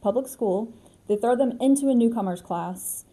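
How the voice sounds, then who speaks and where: Woman, American accent, soft-edged mellow voice, casual co-host chat. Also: a little high, moderate pace. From United States. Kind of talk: casual chat between friends.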